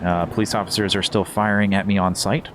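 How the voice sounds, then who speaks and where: A low-voiced man commentates on a video game as if it were a golf tournament. He is hushed, measured and deadpan, with mock dignity and faint noise behind him. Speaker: co-op streamer, commentator.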